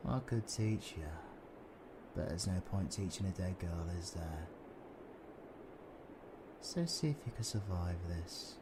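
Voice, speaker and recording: Male actor as British supervillain, unhurried, lowish voice, menacing, flirty, breathy, taunting, audio roleplay, noisy ambient backdrop. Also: lively intonation. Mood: smug, taunting. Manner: taunting, breathy, swings between whispering and shouting. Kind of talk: roleplay audio drama, intimate ASMR.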